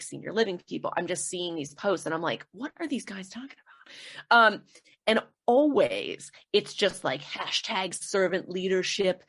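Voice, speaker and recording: Laid-back woman guest, lowish voice, giggles a lot, does little voices when quoting folks. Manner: laughs often, acts out quoted voices. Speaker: podcast guest, comedian. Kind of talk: casual interview chat.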